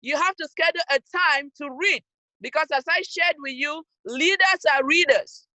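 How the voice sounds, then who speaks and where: A woman speaks high and bright straight to young listeners. She presses each point with firm, scolding emphasis. The sound is close and dry, coming through a video-call mic. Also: normal speed, dry recording. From Cameroon. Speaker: youth program speaker. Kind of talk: motivational talk to young people.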